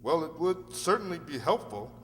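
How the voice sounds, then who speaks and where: A man's voice: a little high, sing-song, moderate pace. A man in a radio play acting an earnest, courteous defence lawyer who speaks formally and politely. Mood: frank, careful. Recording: echoey hall, faint noisy hum.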